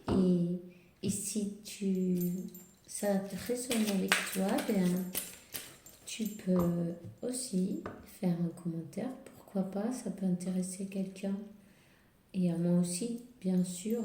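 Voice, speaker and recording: A woman with a slight cold and a hoarse voice, giving hesitant spiritual advice to viewers full of fillers and restarts. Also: lowish voice, background noise. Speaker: tarot and spiritual reader. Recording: roomy echo.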